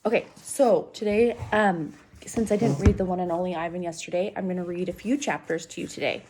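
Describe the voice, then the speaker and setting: A woman's voice: on the low side, fairly quick, darkish tone, slight background noise, poor audio. A woman reading a children's novel aloud expressively, as a teacher does for students, speaking directly to young listeners.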